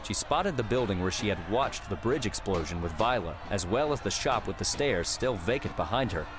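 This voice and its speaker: A man's voice: lowish voice, expressive, normal speed, poor audio. A man reading scripted adventure story narration as an audiobook narrator. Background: background music.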